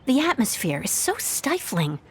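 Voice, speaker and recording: Lilting, gentle young wandering swordsman. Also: a little high, big pitch swings, normal speed, husky. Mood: explaining calmly. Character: young wandering male samurai exile, gentle and reflective. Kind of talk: video game voice acting, storytelling.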